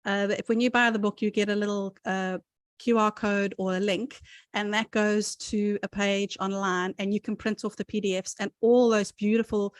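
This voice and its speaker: A woman hosting a live book launch in a friendly, organised way as she introduces each speaker. Her voice has a mid pitch, and the sound is dry and close, with no room echo. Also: little pitch movement, moderate pace. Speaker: author and event host.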